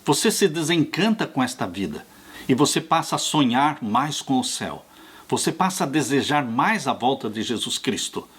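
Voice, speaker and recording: Pastor in his 60s, earnest sermon delivery, husky voice with a lively, rising and falling pitch. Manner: earnest, slow pastoral preaching, warmly addressing listeners as "dear ones". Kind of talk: sermon on a Bible study lesson.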